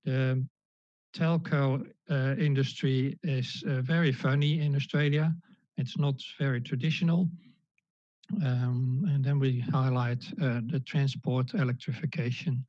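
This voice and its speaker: A man's voice: medium pitch, steady tone, measured pace, darkish tone, dry recording. A Dutch-accented man in his fifties presents over a video call, stopping often with fillers and restarting his sentences. The call audio is dull and lo-fi, and the sound is dry and close, with no room around it. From Netherlands. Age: 50s. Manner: hesitant, many fillers and restarts. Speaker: guest speaker. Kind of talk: online presentation talk.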